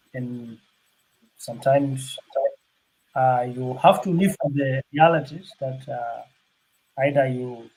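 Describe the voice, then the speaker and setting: An older man from South Sudan giving unscripted panel remarks, halting, with many fillers and restarts. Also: mid-range voice, gravelly, darkish tone, slightly rough, low-quality recording.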